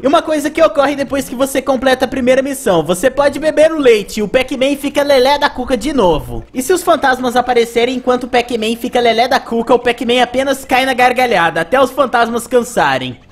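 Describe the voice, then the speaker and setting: High-pitched young Brazilian man narrating games. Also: animated, fairly quick, few pauses, soft background music. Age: young adult. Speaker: gaming video narrator. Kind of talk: casual game review narration.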